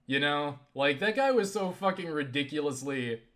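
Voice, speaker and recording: Male vlogger, slightly high-pitched and very bright, halting, profane, animated casual chat. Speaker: video creator answering viewer questions. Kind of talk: casual rambling game chat.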